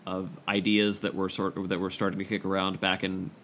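A man hosting a podcast in casual discussion, halting and self-deprecating, repeating phrases as he works out his thought. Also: low voice, monotone, fairly bright tone, a little hiss or hum. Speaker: podcast host.

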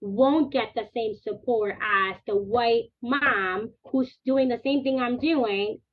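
A woman's voice: on the high side, slow pace. Earnest Dominican-born woman on a tinny line. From Dominican Republic. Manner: earnest, animated, talks in long chains of clauses. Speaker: guest. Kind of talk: podcast interview.

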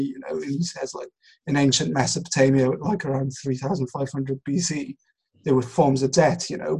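Gravelly, husky man talking ideas through. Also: mid-range voice, a little mellow, rough recording. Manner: hesitant, rambling explanation with hedging. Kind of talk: casual intellectual discussion.

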